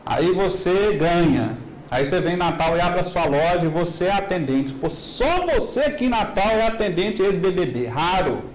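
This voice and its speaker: A middle-aged man with a Minas Gerais accent giving a lively business talk and asking the audience rhetorical questions. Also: sits high, normal speed, roomy sound, a little hiss or hum, rough recording. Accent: Minas Gerais Brazilian Portuguese. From Minas Gerais, Brazil. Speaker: business lecturer. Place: large hall.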